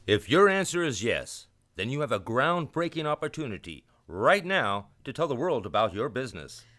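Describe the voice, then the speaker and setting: A man's voice: medium pitch, sing-song, normal speed, a bit bright. A man announcer reading a scripted promotional spot for radio.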